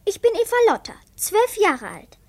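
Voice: very high voice, huge pitch swings, moderate pace, a little mellow, heavily acted. A performer playing a pert, confident twelve-year-old girl, cheeky and outspoken, in a children's radio play.

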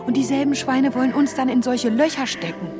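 A woman's voice: high-pitched, steady tone, brisk, music in the background, natural voice. An actress playing a resolute, blunt young refugee woman in radio play dialogue, plain-spoken and feisty. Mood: indignant.

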